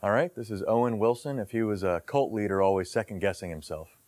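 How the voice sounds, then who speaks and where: A man's voice: fairly low pitch, fast talker, darkish tone, no echo. A man in his thirties casually introducing bits to camera as a comedian, on a lapel mic. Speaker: comedian presenting a character reel. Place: indoor room with brick wall.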